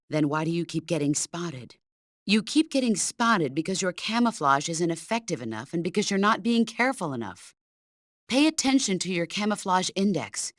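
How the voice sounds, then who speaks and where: A woman's voice: medium pitch, dry recording, clean studio sound. A middle-aged woman playing a stern, commanding veteran soldier who mentors her former protégé in a video game. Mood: stern, reproachful. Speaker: military mentor character. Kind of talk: video game voice acting, radio briefing dialogue.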